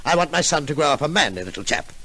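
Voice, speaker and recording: A man's voice: on the high side, very animated, rapid-fire, sharp tone, heavily acted. An Australian actor playing an exasperated, sardonic father in an old-time radio comedy, blustery and mock-polite. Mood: irritated. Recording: noisy old radio recording.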